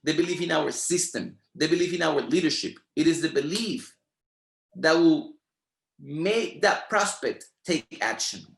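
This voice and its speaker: A man's voice: a little high, animated, measured pace, gravelly, a bit bright, echoey room. A man whose first language is Spanish, speaking with a Spanish accent and giving a motivational training talk in an emphatic, repetitive, preacher-like rhythm. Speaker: network marketing trainer.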